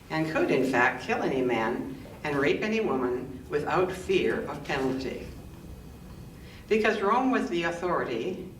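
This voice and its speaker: A woman reading scripture and scripted commentary in church, with measured, deliberate phrasing. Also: deep voice. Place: indoors in a church, roomy acoustic. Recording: roomy, distant microphone, faint noise.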